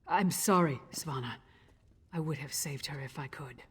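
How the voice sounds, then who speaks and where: An actress playing a blunt, loyal, towering half-giant warrior woman in a fantasy video game, gruff and resolute. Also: low-pitched, measured pace, slight background noise. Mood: regretful. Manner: plain-spoken, gruff, resolute.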